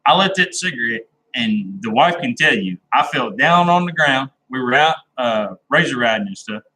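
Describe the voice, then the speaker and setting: Bright, chatty laid-back man. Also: mid-range voice, lively intonation, moderate pace, slight background noise, low-quality recording. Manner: rambling and laid-back, with many "uh"s and restarts. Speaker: livestream host chatting with viewers. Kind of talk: casual live-stream chat.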